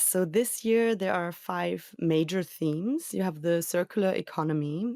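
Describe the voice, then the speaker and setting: A woman reporter giving a chatty, lively field report to a host, often opening with "so". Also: low-pitched, no echo, clean studio sound. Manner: chatty, lively, starts lines with "so".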